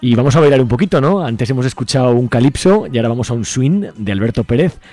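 A man's voice: medium pitch, lively intonation, fast talker, warm tone. A man with an accent from Spain hosting live radio casually, encouraging, tagging his phrases with little questions. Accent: Spanish (Spain). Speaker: radio host.

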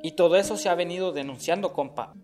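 A man's voice: high-pitched, quick pace, close to an everyday voice. An actor playing an earnest male Honduran activist in a radio drama, chatting in a colloquial way. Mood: earnest, informative. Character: Juancho, man activist chatting earnestly with a comrade. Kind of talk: scripted radio drama dialogue, conversational. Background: plucked guitar music.

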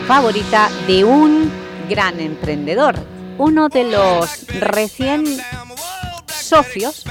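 A woman hosting a radio interview, asking brisk, inquisitive questions. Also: mid-range voice. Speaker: radio host.

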